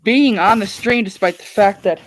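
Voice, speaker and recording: A woman's voice: medium pitch, moderate pace. A woman hosting a live stream with casual commentary, speaking in a polite, apologetic way.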